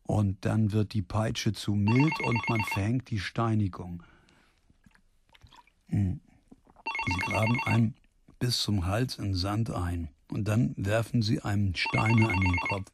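An actor in a German radio drama playing a gruff, brooding spare-parts dealer who mutters brusquely. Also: male voice, on the low side, slow. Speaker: lead character. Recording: clear background noise.

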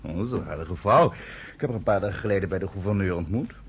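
A man's voice: low voice, expressive, fast talker, slight background noise. An actor playing an arrogant British male officer in a radio drama, speaking in a smug, condescending, mocking way.

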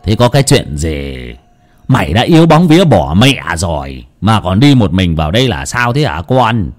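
A sly middle-aged village patriarch acted out by a male storyteller, gruff and earthy, with a low voice that swings as he scolds and teases. He belongs to a spooky folk tale told aloud. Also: very animated, normal speed, soft background music. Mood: gruff scolding. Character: gruff, teasing middle-aged village clan-head uncle.

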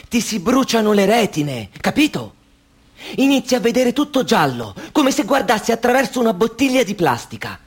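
A husky, breathy voice belongs to a fretful, controlling man who keeps a young woman indoors, in a radio drama. His pitch rises and falls widely as he goes from low murmurs to urgent warnings. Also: exaggerated voice. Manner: brooding, insistent, swings between hush and urgency. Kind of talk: Italian radio play acting.

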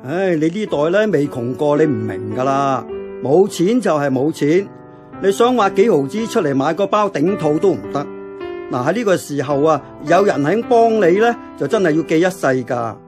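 Man acting a preachy older Hong Kong café uncle, higher-pitched. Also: animated, moderate pace, lightly acted. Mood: nostalgic, preachy. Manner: lecturing, reminiscing in homely colloquial talk. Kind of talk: radio drama acting. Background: plucked guitar music.

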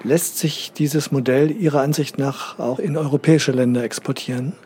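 A man's voice: medium pitch, fairly even intonation, moderate pace, warm tone, some chatter behind, slight background noise, natural voice. Casting: actor as courteous male interviewer, mid-range voice, probing questions in a satirical news interview. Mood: polite, probing. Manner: polite, probing.

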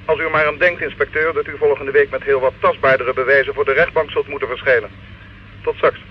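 A man plays a sceptical, officious court official in a radio play. He rattles along quickly, formal and reproving. The old recording is dull and slightly hissy. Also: mid-range voice, fairly even intonation, natural voice. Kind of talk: old radio drama acting.

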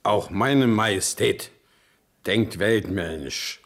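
A man's voice: on the low side, slow pace. An actor playing a blunt, coarse Prussian king in a 1970s radio play, with music behind. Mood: gruff. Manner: coarse, plain-spoken, mocking. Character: blunt gruff Prussian king, middle-aged man. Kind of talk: 1970s radio drama acting.

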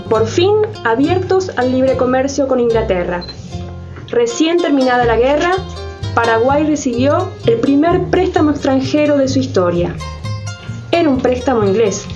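A woman narrates a scripted radio drama in a high voice. Guitar music plays behind her. The sound is a little dull. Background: plucked guitar music.

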